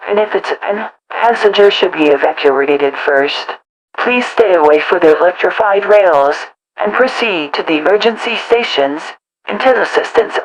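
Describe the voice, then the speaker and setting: A woman reading scripted automated transit announcements in a formal, measured, synthetic-announcer manner. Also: mid-range voice, highs cut off, a little hiss or hum.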